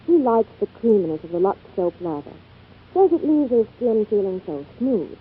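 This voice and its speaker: A woman's voice: medium pitch, very animated, normal speed, husky. A woman reporter in a scripted 1940s radio commercial, chatty and gushing. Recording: tinny 1940s radio broadcast.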